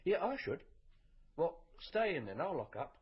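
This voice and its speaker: An actor playing a chatty, obliging local baker in a radio drama, with an informal, busy, sing-song delivery. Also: male voice, a little high, very animated, moderate pace, slightly rough, heavily acted. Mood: gossipy, concerned. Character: chatty, obliging male neighbourhood baker, plain-spoken. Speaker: bakery shopkeeper. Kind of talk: BBC radio drama acting. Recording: dull, muffled radio recording.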